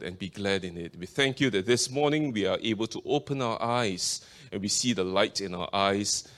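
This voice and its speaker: A man from Singapore with a Singaporean accent, preaching as a guest speaker, opening in fervent prayer and reading scripture aloud. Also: fairly low pitch. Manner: earnest, fervent praying with many repeated invocations of the Lord.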